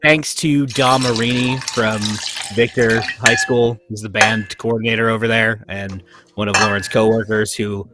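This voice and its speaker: A man's voice: fairly low pitch, fairly even intonation, normal speed, heavy background noise. A man who did the sound effects, chatting casually on a video call and laughing at himself. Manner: chatty and self-deprecating, laughs often. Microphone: home mic on a video call.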